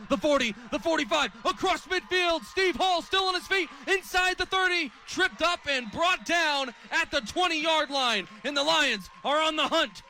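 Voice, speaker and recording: A young American man calling live football play-by-play on radio, speaking in crisp, clipped phrases. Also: extremely high pitch. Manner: crisp, clipped phrases that build to excited calls on big plays. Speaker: play-by-play radio sports announcer.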